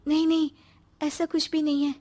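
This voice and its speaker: Woman playing a high-voiced, dutiful young bride. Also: flat delivery, moderate pace, warm tone, soft background music, lightly acted. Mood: anxious. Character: sweet, dutiful young newlywed daughter-in-law. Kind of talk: animated Hindi moral story acting.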